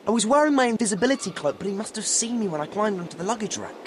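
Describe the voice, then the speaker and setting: A man's voice: high voice, expressive, rapid-fire, noisy background. A man with a British accent voicing an earnest, suspicious, stubborn teenage boy wizard in a video game. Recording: loud music behind the voice.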